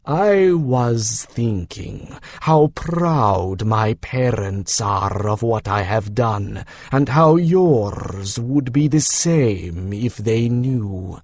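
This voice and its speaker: A man voicing an earnest, sheepish young griffin in an audiobook, speaking in a slow, halting and formal manner. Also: fairly low pitch, lively intonation, a little mellow, voice pushed down, heavily acted. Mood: earnest, solemn. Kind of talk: audiobook character voice.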